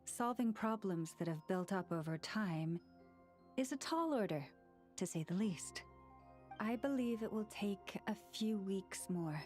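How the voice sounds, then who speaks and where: Actress as a calm, soft-spoken priestess. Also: female voice, mid-range voice, faint music behind, close to an everyday voice. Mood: earnest. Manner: measured, soft-spoken, formal. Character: gentle young woman, a calm and thoughtful spiritual leader. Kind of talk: video game voice acting.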